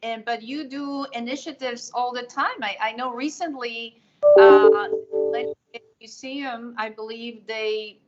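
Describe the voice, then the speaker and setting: Higher-voiced woman hosting an interview. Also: fairly even intonation, unhurried, over loud music. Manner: enthusiastic, affirming. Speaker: interview host. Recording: dull lo-fi sound.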